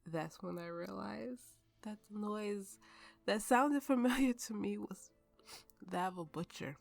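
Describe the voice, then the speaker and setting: Slow, gravelly, haunted warrior woman acted out. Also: medium pitch, faint music behind. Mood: haunted, suspenseful. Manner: halting, slow, dramatic pauses, dropping toward whispers. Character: tipsy, haunted woman warrior with powers, grieving and bitter. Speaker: ASMR roleplay voice performer. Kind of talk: ASMR roleplay, dramatic storytelling.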